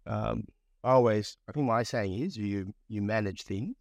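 A man in his forties from Fiji with a low, gravelly voice. He talks in a relaxed, reflective way, leaning on fillers as he goes. The sound is dry and close. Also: moderate pace, no echo. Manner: relaxed, reflective, many "you know" fillers. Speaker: guest pharmacist. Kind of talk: conversational interview.

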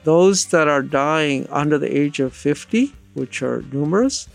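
A man's voice: a little high, expressive, unhurried, vocal fry, soft background music. A man speaking as an interview guest, unscripted and emphatic, building his point in short repeated phrases. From Mixteco community of Mexico. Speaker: radio network director, interview guest.

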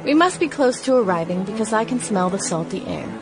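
A woman's voice: mid-range voice, lively intonation, a little fast, natural voice. A woman voicing a young woman writing diary entries at the beach in 1916, in a radio play. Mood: wry. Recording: music bed behind voice.

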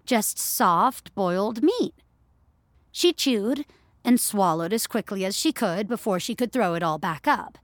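A woman's voice: medium pitch, lively intonation, unhurried, no room sound, studio quality. A woman narrator reading scripted audio fiction as a storyteller.

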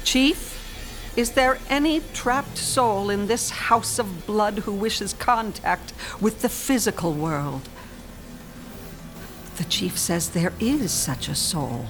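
A woman's voice: medium pitch, animated, slow pace, music in the background. A woman playing a possessive, scornful spirit medium in a radio horror drama, with grand, dramatic phrasing. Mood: solemn, summoning.

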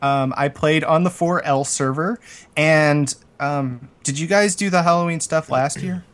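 A man's voice: fairly high pitch, unhurried, fairly bright tone. A man chatting casually and jokingly as a co-host on a gaming podcast.

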